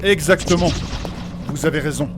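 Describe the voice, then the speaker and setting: A man's voice: a little high, normal speed, noisy background, natural voice. A man playing a learned, courteous mage in a fantasy audio comedy, speaking formally and precisely as he explains things in an earnest, pedantic way. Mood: earnest, scholarly. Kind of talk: French humorous fantasy audio fiction acting. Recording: music behind the voice.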